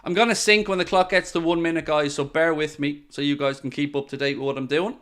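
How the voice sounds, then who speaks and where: A man hosting a live football watchalong stream, calling each pass and joking with the chat. His voice is smooth and clear and sits a little high for a man. He talks excitably and speaks straight to his viewers. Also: quick pace. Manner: excitable, jokey, addresses viewers directly. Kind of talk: live match commentary.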